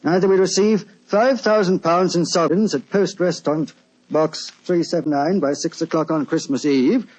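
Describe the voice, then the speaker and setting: A man's voice: on the high side, normal speed, a little mellow, lightly acted. A man playing a courteous, anxious, well-off lord with a British accent, earnest and slightly hesitant, in an old radio drama. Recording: muffled, lo-fi old radio recording.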